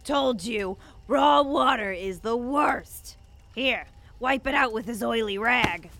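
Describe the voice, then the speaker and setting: A woman playing a folksy, suspicious, motherly bartender in an audio drama, with a creaky voice and rustic turns of phrase. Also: a little high, slow, fairly bright tone, no room sound. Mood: consoling, folksy.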